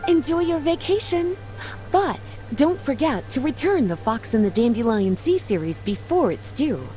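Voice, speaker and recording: A woman's voice: high-pitched, sing-song, normal speed, mellow, faint music behind. A woman voicing a poised, playful librarian in a video game, teasing and lilting, in no hurry.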